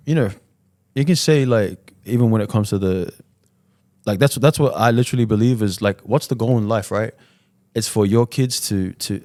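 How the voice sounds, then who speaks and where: A man from Fiji speaks in a low voice, captured close and dry. He talks like a relaxed podcast guest, loose and slangy, often tagging phrases with "man" and "you know". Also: no room sound. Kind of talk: casual chat.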